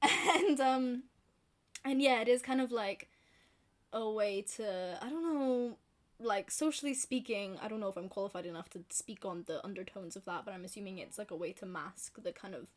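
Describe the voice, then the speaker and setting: Chatty young Scottish woman, soft Glasgow lilt. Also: medium pitch, lively intonation, normal speed. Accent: softened Glaswegian Scottish. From Scotland. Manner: chatty, rambling, self-deprecating, many fillers. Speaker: book vlogger. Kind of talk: casual chat to camera.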